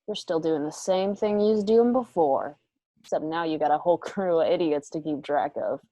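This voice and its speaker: A woman's voice: low voice, normal speed, warm tone, dry recording, close to an everyday voice, low-quality recording. An American woman playing a vengeful, coolly cruel outlaw in a Western radio drama, taunting with folksy threats. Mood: taunting, threatening.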